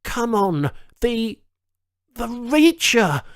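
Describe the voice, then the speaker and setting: A man playing a disoriented, desperate ex-military escapee in a science fiction audiobook, talking to himself in strained, halting bursts. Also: very high voice, big pitch swings, fairly bright tone, no room sound, voice pushed up, heavily acted. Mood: straining to remember. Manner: strained, halting, urgent self-talk.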